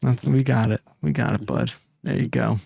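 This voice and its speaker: A man's voice: mid-range voice, moderate pace, vocal fry, dark tone, slightly rough, dry recording, clean studio sound. A man co-hosting a podcast with casual comic banter. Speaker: podcast co-host.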